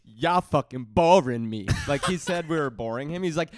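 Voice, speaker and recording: A man with a high-pitched voice, a comedian guest on a podcast, tossing in quick playful remarks. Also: animated. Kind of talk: casual comedy podcast chat.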